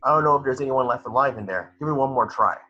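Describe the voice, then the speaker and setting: A man's voice: mid-range voice, quick pace, bright, forceful tone, breathy edge. A man playing a terse military officer giving orders in a film script table read. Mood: tense. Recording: noisy, lo-fi home call audio.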